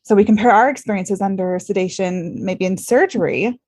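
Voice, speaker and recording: A woman's voice: medium pitch, normal speed, darkish tone. An American woman hosting a conversational medical interview, speaking in an informal and earnest way. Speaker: podcast host and ICU clinician.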